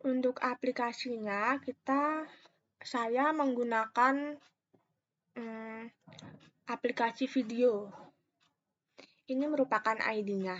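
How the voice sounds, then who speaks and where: Slow-paced young woman presenting. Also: fairly high pitch, fairly bright tone, muffled recording. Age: young adult. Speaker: university student presenter. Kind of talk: step-by-step screen tutorial narration.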